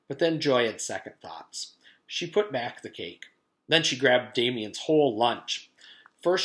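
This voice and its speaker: A man's voice: medium pitch, a bit bright. A man reading a children's book aloud in the style of a teacher's storytime, narrating expressively.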